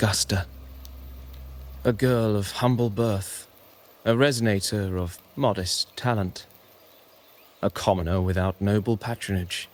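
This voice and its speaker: Courtly wandering bard for a fantasy game, male actor: lowish voice, eloquent, measured, mysterious storytelling delivery. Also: steady tone, a little hiss or hum, lightly acted. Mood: reverent storytelling. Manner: eloquent, theatrical, measured, poetic. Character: cryptic, courtly male traveling poet and storyteller. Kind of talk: dramatic fantasy game voice acting.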